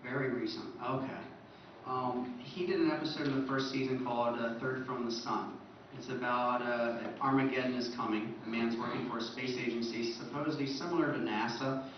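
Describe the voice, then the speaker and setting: Male convention presenter, informal lecture delivery, very reverberant room, muffled pickup. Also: mid-range voice. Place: large echoey presentation room. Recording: echoey room, distant muffled mic, faint noise.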